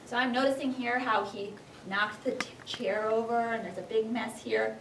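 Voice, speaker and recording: High-voiced woman teacher, echoey classroom. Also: moderate pace. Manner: animated, dramatic when reading, clear instructional phrasing. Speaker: first-grade teacher. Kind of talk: expressive storybook read-aloud and classroom instruction. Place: classroom with young children. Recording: echoey classroom, distant, background noise.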